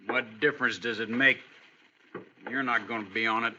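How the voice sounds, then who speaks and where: An American actor playing a defiant, taunting outlaw prisoner in an old radio western. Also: male voice, mid-range voice, steady tone, normal speed, pushed and bright. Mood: threatening. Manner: taunting, threatening. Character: defiant, cocky captured outlaw, adult man. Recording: dull, hissy old radio recording.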